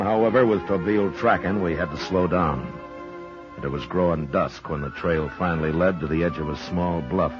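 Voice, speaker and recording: An actor playing a stern, steady frontier marshal with a terse, authoritative manner in a 1950s radio western. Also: male voice, a bass voice. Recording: muffled old radio recording.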